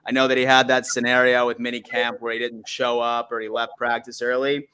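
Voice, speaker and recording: A man's voice: mid-range voice, flat delivery, a little fast, sharp tone, clean tone. A man in his thirties from Buffalo, New York, hosting a casual sports call-in stream in a laid-back way. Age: 30s. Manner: laid-back, says "man" often, hypes guests.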